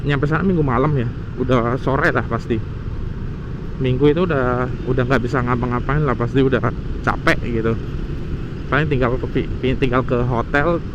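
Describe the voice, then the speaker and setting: Male motovlogger, average pitch, informal rambling chat, helmet mic with noticeable traffic and engine noise. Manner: informal, rambling, addresses viewers as friends. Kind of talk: casual chat while riding a motorbike. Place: outdoors on a motorbike in city traffic. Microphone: helmet intercom mic wired to action camera. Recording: loud road and engine noise, helmet intercom.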